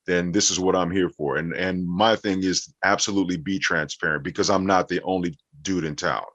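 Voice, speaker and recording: A man's voice: low-pitched, fairly even intonation, fairly quick, a bit bright. A man answering questions conversationally as an interview guest, a voiceover actor and coach. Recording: roomy echo, dull muffled sound.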